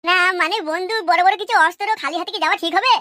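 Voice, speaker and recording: A woman's voice: very high voice, rapid-fire, pushed and bright, no room sound, studio quality. A woman voicing a puzzled, plain-spoken village youngster in a comic cartoon, full of bewildered questions.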